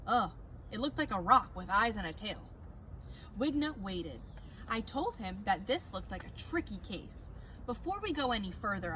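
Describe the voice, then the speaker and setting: A woman teacher reading a children's chapter book aloud from her home, in an animated storytelling manner with bright emphasis. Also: fairly high pitch, slight background noise, rough recording.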